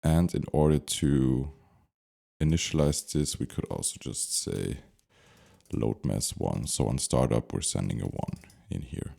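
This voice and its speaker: A man giving an unscripted software tutorial, thinking aloud step by step as he instructs. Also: rumbling low, measured pace, vocal fry, husky.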